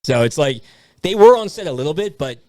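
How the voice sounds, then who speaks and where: A man's voice: fairly high pitch, sing-song, brisk, darkish tone, no echo, clean studio sound. A man co-hosting a casual, bantering film review chat. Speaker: podcast co-host leading the discussion.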